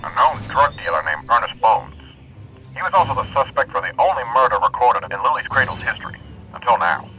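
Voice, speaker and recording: Guy as bossy police sergeant, sharp voice, really animated, radio play with music behind. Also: medium pitch, brisk, poor audio. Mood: brisk, urgent. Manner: forceful, businesslike. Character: brisk, authoritative male police sergeant. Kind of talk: amateur radio drama acting.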